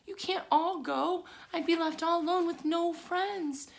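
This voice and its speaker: A woman's voice: very high-pitched, a little slow, vocal fry, pitched above the performer's own voice, heavily acted. A woman voicing a young male pig, earnest, emotional and tender-hearted, in a children's storybook read-aloud. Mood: anxious, pleading.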